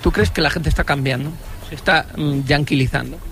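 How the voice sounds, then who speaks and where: A man's voice: on the high side, gravelly, a bit bright. A man from Galicia doing joking street interviews outdoors on a busy street. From Galicia, Spain. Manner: fast, joking, teasing. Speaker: street reporter.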